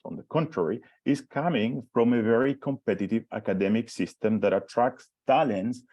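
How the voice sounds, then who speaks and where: A man's voice: mid-range voice, moderate pace, dark tone, no echo, lo-fi sound. A man in his forties from Chile with a Chilean Spanish accent, giving a conference talk as a professor, hesitant with many restarts and fillers. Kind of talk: conference presentation.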